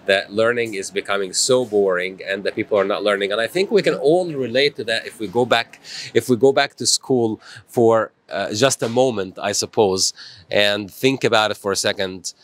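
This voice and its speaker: A man's voice: lowish voice, moderate pace. A middle-aged man hosting a live stream in a small studio, upbeat and motivational, thanking commenters. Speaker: host.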